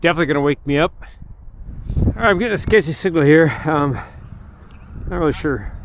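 A man's voice: fairly high pitch, fairly quick, slight background noise, low-quality recording. A middle-aged man casually narrating his metal detecting outdoors in parks, thinking aloud with many fillers, recorded on an action camera. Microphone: action camera held or chest-mounted.

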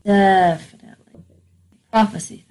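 A woman voicing a terse, haughty sentient sword in a tabletop game, deadpan and curt. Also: medium pitch, a little slow, lightly acted. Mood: deadpan, bossy.

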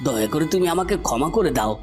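A man's voice: fairly high pitch, animated, quick pace, faint music behind, natural voice. A man voicing a cornered, treacherous villain in a cartoon, melodramatic, swinging between menace and pleading. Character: cornered treacherous male villain, threatening then begging for life.

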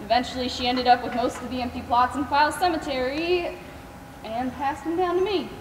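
A high-pitched, folksy Southern woman, tender and devout, acted by a woman in a stage play. She is heard from far off in an echoey theater with clear background noise. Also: a little fast, natural voice. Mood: warm, reflective. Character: kind, devout Southern woman caring for the dying. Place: large theater stage, recorded by far camera.

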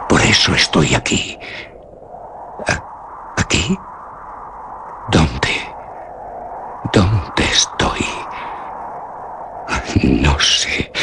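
A haunted, delirious man speaks slowly in a Castilian Spanish accent. His voice is extremely low and swings dramatically between hushed dread and emphasis. He broods through a gothic radio-play monologue, lingering in long pauses. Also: heavily acted. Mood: bewildered, confessional, then anxious, confused. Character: haunted, feverish man lost among ancient graves.

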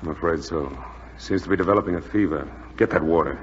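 An actor playing a stern, worried American lieutenant in a 1940s radio drama, speaking curtly and with command. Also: male voice, low-pitched, fast, slight background noise, lightly acted. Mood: brisk, concerned. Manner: curt, commanding. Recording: muffled, echoey 1940s radio recording.

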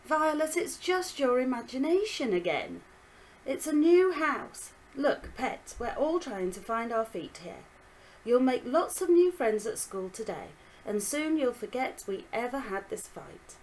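Woman doing a tired dad in a storybook, firm and scolding, lively lilt. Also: sits high, a little slow, voice pushed up, rough recording. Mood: stern. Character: tired father, gentle but quick to anger.

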